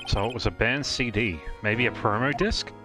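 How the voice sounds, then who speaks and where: A man's voice: medium pitch, normal speed, music in the background, close to an everyday voice. A man voicing an exasperated, earnest young defense lawyer in a live fan dub of a video game, questioning in a dry, incredulous way. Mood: puzzled.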